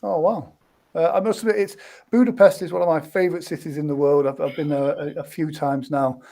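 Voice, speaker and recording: A man hosting an interview, his voice sitting a little high. He shapes his questions loosely, restarting and filling gaps as he talks. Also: fairly even intonation, a little fast, creaky, warm tone. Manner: rambling, with many restarts and fillers. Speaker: interview host.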